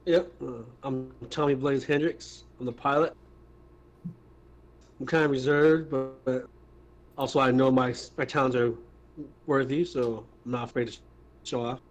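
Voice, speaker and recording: A man with an average-pitched voice plays along casually in an online roleplaying game. His voice chat audio sounds muffled and lo-fi. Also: fairly even intonation, a little slow, long pauses. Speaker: tabletop game player. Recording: dull, muffled home voice-chat mic.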